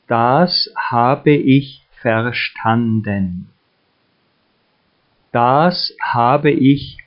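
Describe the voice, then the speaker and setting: A man reading a listen-and-repeat language drill, saying each short phrase slowly and deliberately with long pauses between them. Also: mid-range voice, muffled recording. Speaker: language teacher.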